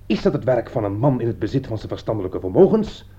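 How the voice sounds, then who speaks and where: A man's voice: mid-range voice, very animated, fast talker, dark tone, breathy edge. A man acting in a radio play as an anxious, indignant friend turned accuser, agitated and reproachful. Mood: indignant, accusing. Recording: dull lo-fi radio recording.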